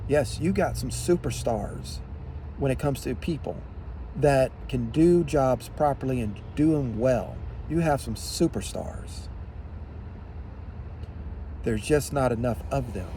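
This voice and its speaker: Guy slowly talking out his opinions, mellow voice, pauses a lot, some car noise behind him. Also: mid-range voice, low-quality recording. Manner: deliberate, halting phrasing with emphatic repetition. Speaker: vlogger giving opinion. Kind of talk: unscripted opinion monologue.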